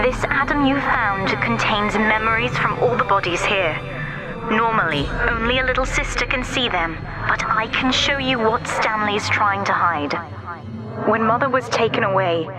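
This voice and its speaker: A woman's voice: fairly high pitch, big pitch swings, a little slow, low-quality recording. A woman playing an earnest, wronged teenage girl in a video game, confiding her story to her father. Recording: game audio under loud music.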